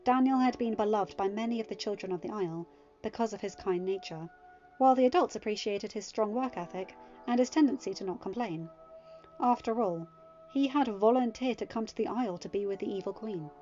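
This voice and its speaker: A woman reading a fan story aloud as narrator, at a steady, even pace. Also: mid-range voice, fairly quick. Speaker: fanfiction audiobook reader. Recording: muffled audio with soft music.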